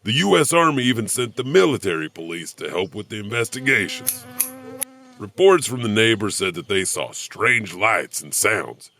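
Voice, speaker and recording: Man playing a sardonic, animated horror storyteller. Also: lowish voice, moderate pace, exaggerated voice. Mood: sly, amused. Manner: sardonic, chuckling, rhetorical questions. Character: creepy chuckling wandering janitor storyteller, male, sardonic. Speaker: host of horror anthology podcast. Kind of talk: theatrical horror story narration.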